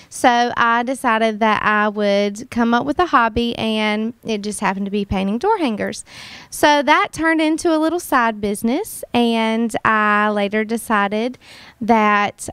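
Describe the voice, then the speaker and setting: A woman, a kindergarten teacher who owns a boutique, tells her story to a college audience. She sounds earnest and reflective, and her fairly high voice comes through clean. The recording is close and dry. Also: a bit bright, clear, resonant tone, no room sound. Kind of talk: personal panel talk. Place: warm college room.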